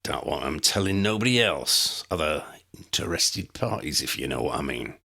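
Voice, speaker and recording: A man voicing a gruff, shady middle-aged wizard dealer who talks in rough slang. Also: a bass voice, expressive, moderate pace, sharp tone, heavily acted. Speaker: voice actor.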